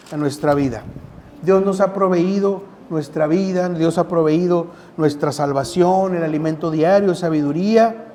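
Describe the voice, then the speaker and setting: A man delivers a sermon in a notably high voice for a male speaker. His pace is slow and unhurried. He talks to listeners conversationally, repeating phrases to drive points home. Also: a little mellow, slight background noise. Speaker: preacher. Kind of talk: sermon with scripture reading.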